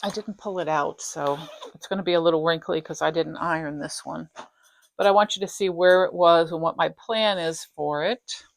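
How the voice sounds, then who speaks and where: Slightly raspy lowish woman. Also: fairly even intonation, normal speed. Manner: chatty, rambling, self-interrupting. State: slightly raspy from dry throat. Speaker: flosstube host. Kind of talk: casual show-and-tell chat. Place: craft room at home.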